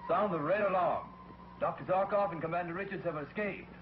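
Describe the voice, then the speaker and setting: An actor playing a guard giving a clipped, official alarm report in a 1950s TV drama. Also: male voice, high-pitched, brisk. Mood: urgent. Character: alert male guard raising an alarm. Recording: dull 1950s TV soundtrack with noise.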